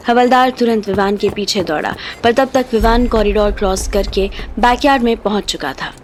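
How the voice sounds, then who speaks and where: A woman's voice: mid-range voice, moderate pace, a little mellow. A woman reading scripted narration as the storyteller of an audio drama. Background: background music.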